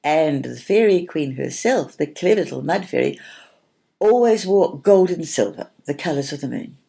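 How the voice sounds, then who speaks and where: A woman playing a kindly, cheerful storybook character who tells tales in a gentle, reassuring way. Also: deep voice, expressive, moderate pace, creaky, breathy edge, heavily acted. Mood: gentle, wistful.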